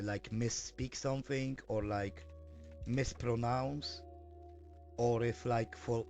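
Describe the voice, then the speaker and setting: A man's voice: medium pitch, gravelly, darkish tone. A man streaming and chatting casually as he works, hesitant with lots of fillers and self-corrections. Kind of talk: casual live-stream chat while working.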